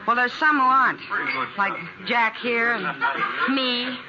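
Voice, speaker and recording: An actress playing a warm-hearted, spirited American saloon woman in a 1950s radio western, speaking frankly and earnestly. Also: fairly high pitch, takes it slowly, over a noisy crowd. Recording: muffled old radio broadcast.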